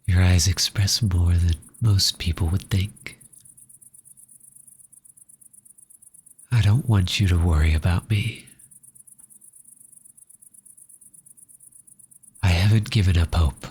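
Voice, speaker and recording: A man playing a tender, grieving orphan in a soft ASMR audio roleplay, talking slowly and haltingly to a pet cat in a breathy voice that often drops into a whisper. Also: low-pitched, fairly even intonation. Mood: gentle reassurance. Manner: slow, halting, intimate.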